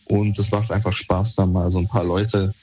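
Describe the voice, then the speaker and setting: A young man from Berlin with a lowish, dark and relaxed voice. He answers casually in laid-back, colloquial speech, and the recording is a little dull. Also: moderate pace, slightly rough. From Germany, Berlin. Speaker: interviewee. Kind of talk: casual chat. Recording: slightly dull, muffled audio.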